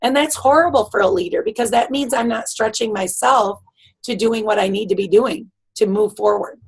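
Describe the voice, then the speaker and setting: A woman hosting a video call, encouraging and emphatic, her voice raspy. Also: a little high, moderate pace. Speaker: team leader hosting the call. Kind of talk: casual motivational chat. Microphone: computer mic on a video call.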